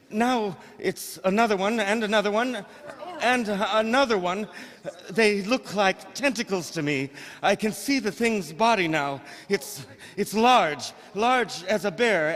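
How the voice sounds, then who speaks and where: Actor as a high-voiced, stammering radio reporter, horrified. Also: male voice, fairly bright tone. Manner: breathless, stammering, hesitant live commentary. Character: excitable, horrified male radio news reporter at a disaster scene. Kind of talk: old-time radio drama eyewitness reporting. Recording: echoey hall, stage radio play.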